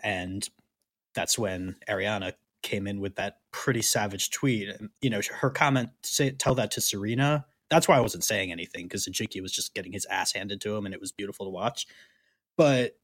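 A man co-hosting a casual sports podcast, explaining things in a wry, measured way. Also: fairly low pitch, a bit bright, no echo. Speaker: podcast co-host.

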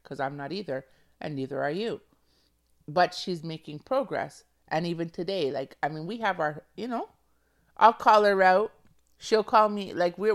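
Earnest woman, soft lower voice. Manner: emotional, earnest, repeats phrases for emphasis. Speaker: podcast host. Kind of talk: casual heartfelt monologue.

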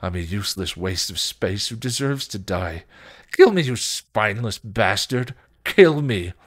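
A man's voice: low voice, animated, moderate pace, fairly bright tone, husky, heavily acted. A man voicing an anguished, self-loathing vampire who pleads and rages frantically in British slang for a story. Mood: bitter, raging.

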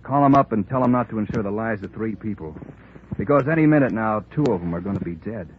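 Male actor playing vengeful ex-con, lowish voice, sardonic menace, 1950s radio thriller, thin noisy recording. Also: expressive, quick pace, narrow, tinny audio, natural voice. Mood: threatening. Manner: sardonic, coolly threatening. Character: menacing, bitter male ex-convict bent on revenge. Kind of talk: 1950s radio crime drama acting.

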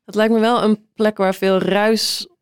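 Smooth-voiced woman interviewer, close and dry. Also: mid-range voice, little pitch movement, unhurried, no echo. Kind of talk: casual book interview.

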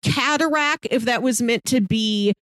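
A woman's voice: fairly high pitch, unhurried, a bit bright, no room sound, studio quality. A woman co-hosting a casual, conversational discussion show. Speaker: podcast co-host.

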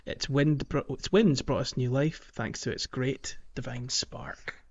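A man's voice: mid-range voice, a little slow, gravelly. A man co-hosting a podcast, chatting casually about a video game, recorded on a slightly muffled mic. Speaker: podcast co-host.

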